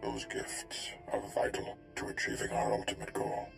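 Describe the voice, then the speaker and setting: An actor playing a wise, solemn old Jedi Master in a video game, deep and gravelly, speaking in a measured, formal way. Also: male voice, over music, close to an everyday voice. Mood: grave, assured.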